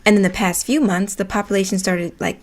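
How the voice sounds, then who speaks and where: A woman's voice: medium pitch, fairly even intonation, fairly quick, lightly acted. An actress playing an earnest, slightly preachy young paralegal who tells her story in a wry, colloquial way in an audio play. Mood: exasperated. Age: young adult. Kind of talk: naturalistic monologue in an audio play.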